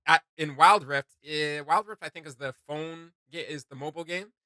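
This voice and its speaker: A man co-hosting a reaction video, talking in a casual, animated, rambling and playfully emphatic way. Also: fairly high pitch, moderate pace. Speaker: co-host of a reaction video channel. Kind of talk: casual chatty reaction commentary.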